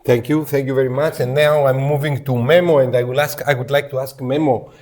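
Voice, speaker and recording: Mellow-voiced man hosting a formal panel. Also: mid-range voice, fairly even intonation, fairly quick. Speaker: podcast host, university professor. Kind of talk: moderated panel discussion.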